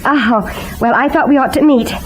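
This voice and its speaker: A woman's voice: high-pitched, normal speed, natural voice. A woman playing an anxious, polite young mother, earnest and easily flustered, in an old radio serial. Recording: noisy vintage radio recording.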